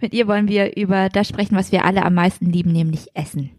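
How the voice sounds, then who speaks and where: A woman's voice: medium pitch, steady tone, fast, darkish tone, no room sound, clean studio sound. A woman co-hosting a podcast in casual, colloquial talk. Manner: informal, colloquial. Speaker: podcast co-host.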